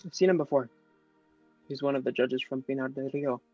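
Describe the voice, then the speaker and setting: A man's voice: medium pitch, steady tone, fairly quick, a little mellow, dry recording, lightly acted. A man reading the part of a hostile male airport official who interrogates and mocks a boy, in a play table read. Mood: mocking. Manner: sneering, interrogating. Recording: dry, dull lo-fi audio with faint music.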